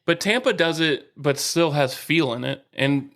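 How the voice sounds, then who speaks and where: A man co-hosting a podcast in casual sports chat, steady and explanatory, slowing down to stress a point. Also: a little high. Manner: steady, explains and asks questions, slows for emphasis. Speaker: podcast co-host.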